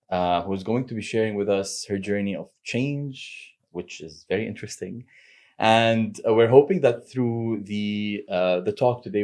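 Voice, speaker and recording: A man hosting a podcast interview, upbeat and energetic as he welcomes and questions his guest. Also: lowish voice. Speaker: podcast host.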